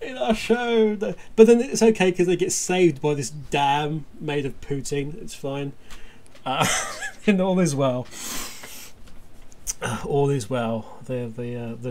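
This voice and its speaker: A man hosting a casual fan podcast, rambling excitably and jokily through stories and listener feedback. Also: mid-range voice, slight background noise. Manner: excitable, jokey, meandering storytelling.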